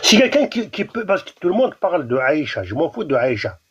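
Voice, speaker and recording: A man with a Kabyle Algerian accent argues hard in a live online debate, his pitch leaping up and down as he presses his point. His voice is husky and fairly high. It comes through a dull, muffled live audio feed. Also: big pitch swings, a little fast, a bit bright. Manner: insistent, repetitive, restarts words. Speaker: debate guest.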